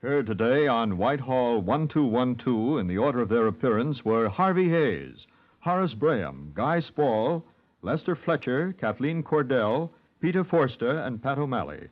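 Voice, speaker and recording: Animated vintage male radio announcer. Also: mid-range voice, moderate pace. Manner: formal, declamatory. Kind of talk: 1950s radio announcing, scripted credits. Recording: muffled old radio broadcast.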